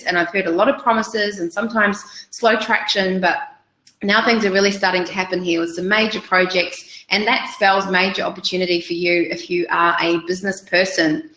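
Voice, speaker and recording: A woman's voice: mid-range voice, bright, forceful tone, reverberant, rough recording. A woman in her forties with a New Zealand accent, presenting a business webinar over slides in an upbeat, chatty way with frequent ums.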